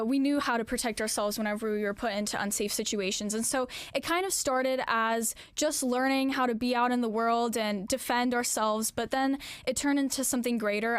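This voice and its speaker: A woman's voice: a little high, clean tone, dry recording. A teenage woman answering questions in a local news interview, earnest and reflective, with frequent "um" fillers. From Alaska, US. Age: late teens. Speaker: interviewee, graduating student.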